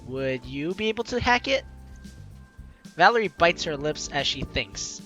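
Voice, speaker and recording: High-pitched, bright young man doing game commentary. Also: very animated, unhurried. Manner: informal, jokey, chatty asides. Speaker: host of a gaming let's play series. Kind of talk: let's play commentary, reading game text aloud. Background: game music.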